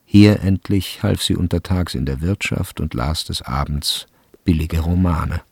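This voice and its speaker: A man narrating a literary radio drama from a script, with measured and deliberate phrasing. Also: a bass voice, creaky, a little mellow, husky, no echo.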